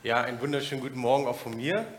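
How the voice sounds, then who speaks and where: A man's voice: medium pitch, fairly even intonation, rapid-fire. A man in his thirties from Germany, speaking informally to a church congregation as a guest preacher, with frequent filler sounds.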